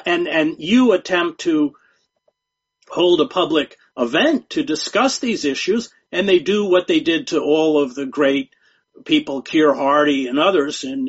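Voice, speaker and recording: A man speaking as a guest journalist in an interview, talking in a measured, emphatic way and often repeating words. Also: on the high side, slightly rough. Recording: dull lo-fi sound.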